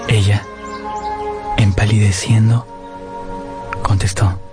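A man's voice: on the low side, flat delivery, slow. An actor playing an earnest, lovesick young man who narrates his romance in a radio drama. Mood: wistful, narrating. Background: prominent music.

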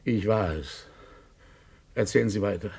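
Grave, husky, insistent lawyer. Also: male voice, on the low side, measured pace, darkish tone, full character voice. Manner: terse, pressing, subdued. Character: grave, insistent male lawyer questioning a witness. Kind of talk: 1950s German radio drama acting.

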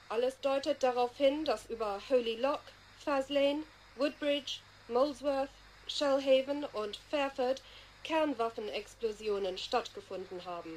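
High-pitched sober radio announcer. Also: female voice, a little mellow, a little hiss or hum, rough recording. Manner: formal, measured. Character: sober female radio announcer giving emergency bulletin. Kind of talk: radio drama news bulletin.

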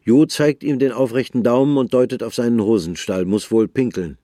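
A man narrating a radio drama in a measured storytelling manner. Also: mid-range voice, mellow, dry recording, studio quality.

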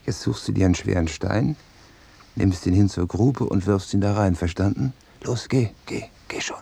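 An actor playing a cruel bully in a radio drama, contemptuous and commanding toward those weaker. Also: male voice, lowish voice, normal speed, gravelly, dark tone, slightly rough. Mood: menacing. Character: cruel, menacing male bully sneering at a weaker man.

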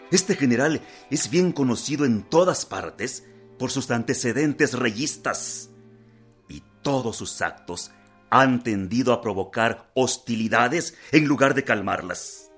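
An actor playing an earnest, indignant revolutionary leader in a historical radio drama, speaking in formal, emphatic oratory. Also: male voice, medium pitch, a bit bright.

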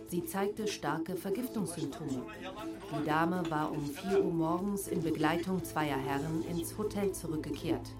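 An actress playing a news reader in a radio play, formal and matter-of-fact. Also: female voice, deep voice, measured pace, over loud music. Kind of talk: news report reading.

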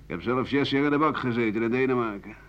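A man's voice: medium pitch, fast talker, lightly acted. An actor playing an embittered ageing writer and doctor who rambles sardonically through his memories in a radio monologue. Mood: bitter reminiscing. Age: older. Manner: rambling, bitter, sardonic, with muttering and dry chuckles. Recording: dull 1980 radio play recording.

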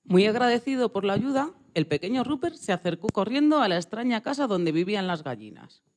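A narrator telling a scripted children's story with expressive delivery. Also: medium pitch, fairly quick, a little hiss or hum.

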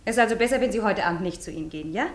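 A woman playing a kindly but firm hospital nurse in a German radio play, gently questioning and indulgent. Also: medium pitch, rapid-fire, lightly acted. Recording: echoey room.

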